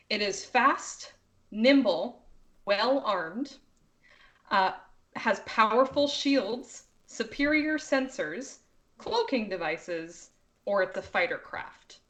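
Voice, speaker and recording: A woman speaking casually as a game master, chatty and quick to laugh as she guides her players. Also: mid-range voice, fairly bright tone. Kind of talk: casual improvised game hosting.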